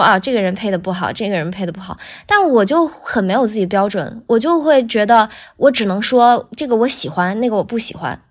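A woman with a voice pitched a little high, speaking casually as a guest voice actor on a podcast. She is tentative, qualifies what she says and circles back over her phrases.

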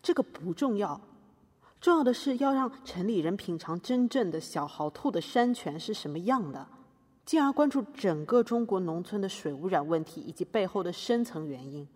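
Big echoey hall, woman playing defiant activist on trial, husky, earnest, audio drama. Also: medium pitch, expressive, moderate pace, a little mellow. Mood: earnest defiance. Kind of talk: scripted podcast courtroom drama.

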